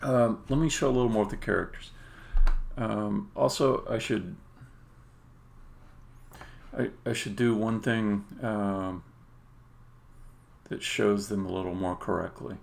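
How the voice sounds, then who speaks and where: A man with a fairly low, gravelly voice talks casually through a screen demo on a live stream. He thinks aloud in halting, hesitant bits, half muttering to himself as he works. There is faint background noise, with keyboard and mouse clicks. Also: fairly even intonation. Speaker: indie game developer, streamer.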